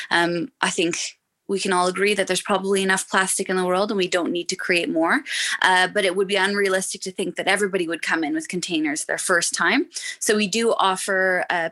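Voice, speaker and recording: A woman's voice: lowish voice, pushed and bright. A Canadian woman in her thirties, a shop owner, friendly and explanatory with frequent ums as a podcast guest. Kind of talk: podcast interview.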